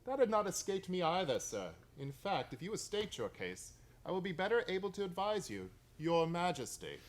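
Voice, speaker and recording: Radio drama brilliant Victorian sleuth: highish male voice, very animated, precise, politely condescending. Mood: cool, knowing. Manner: brisk, precise, theatrical, condescendingly courteous. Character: shrewd, self-assured Victorian male detective, courteous but superior. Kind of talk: radio play acting.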